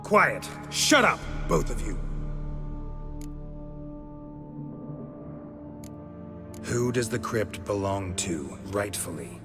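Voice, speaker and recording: An actor playing a gruff, unflappable monster hunter for hire in a video game, blunt and laconic. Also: male voice, lowish voice, wildly swooping pitch, a little slow, music in the background. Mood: stern. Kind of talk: video game dialogue acting.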